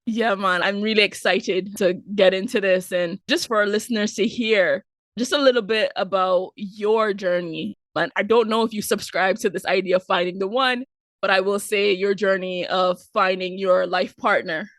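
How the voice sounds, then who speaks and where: Bubbly lady podcast host, fast and playful, super dry mic sound. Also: mid-range voice, steady tone, no echo. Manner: upbeat, playful, quick-talking. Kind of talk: podcast interview, casual chat.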